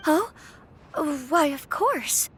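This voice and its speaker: High, lilting, gracious noblewoman. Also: animated, very slow, fairly bright tone, slight background noise, heavily acted. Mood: surprised, polite. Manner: polite, refined, attentive. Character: gracious, gentle young noblewoman. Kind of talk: English video game voice acting.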